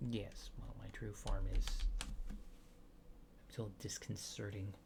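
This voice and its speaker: A soft-spoken man performing an ASMR roleplay as a mild-mannered official, asking questions in a halting, polite, low-key way and slipping toward a whisper, close to the microphone. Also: level tone, gravelly. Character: mild-mannered alien official disguised as human, awkwardly reassuring. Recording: audible background noise with keyboard typing.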